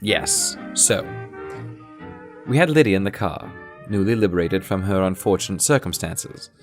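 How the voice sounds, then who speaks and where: A man's voice: low voice, expressive, normal speed, faint music behind. An actor playing a genteel adventurer who recounts his exploits in formal, wordy, conversational audio drama storytelling. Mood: composed, recounting. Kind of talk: first-person audio drama narration.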